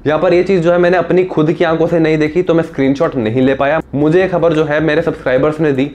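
Young man, quick pace, casual tech vlog delivery, informal direct address, lapel mic. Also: fairly high pitch, little pitch movement, darkish tone. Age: young adult. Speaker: tech video host. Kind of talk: casual talk to camera. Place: small indoor room. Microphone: clip-on lapel mic.

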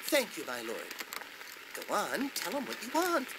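An actor playing an eager, bossy young male scholar in a video game, brisk and self-assured. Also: high voice, wildly swooping pitch, background noise. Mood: earnest.

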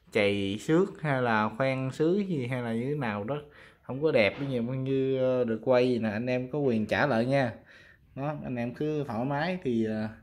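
A man with a Southern Vietnamese accent casually showing goods for sale, talking informally to viewers as friends. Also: medium pitch. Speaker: fishing rod seller presenting products. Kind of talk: casual product showcase.

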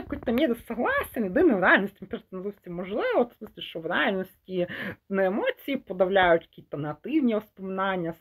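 A man's voice: high-pitched, a bit bright. Hesitant young man, casual review. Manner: hesitant, halting, trails off and rephrases mid-thought; mixes Ukrainian and Russian words. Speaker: film reviewer. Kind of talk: casual unscripted movie review.

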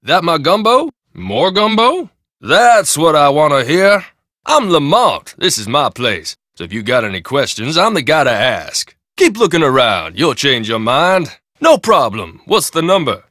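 A man's voice: a little high, sing-song, measured pace, sharp tone, no echo, studio quality, full character voice. An actor playing a folksy, talkative male shop owner in a video game, with easy salesman patter. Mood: chatty. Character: chatty male shop owner, friendly hustler. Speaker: game character.

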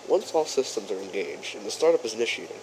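Man as chief engineer, training film narrator, lowish pitch, measured procedural delivery, courteous, prominent background music. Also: sing-song, gravelly, darkish tone, rough recording. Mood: instructive, formal. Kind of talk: scripted instructional narration. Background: music behind the voice.

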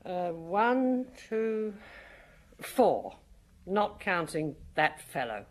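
An actress playing a huge, sullen middle-aged concierge in a radio drama, speaking curtly and grudgingly. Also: female voice, on the low side, very slow, heavily acted. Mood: sardonic, indifferent. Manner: curt, flat, grudging.

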